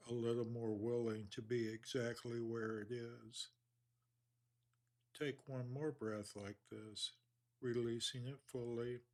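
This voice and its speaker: A man leading a guided body scan meditation in an unhurried, measured, soothing manner with long pauses. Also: medium pitch.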